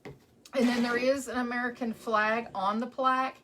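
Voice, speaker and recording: A woman's voice: on the high side, level tone, moderate pace, background noise. Chatty West Texas woman crafting live. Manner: chatty, greets viewers by name between steps. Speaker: wreath maker hosting a live stream. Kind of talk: casual chat while crafting.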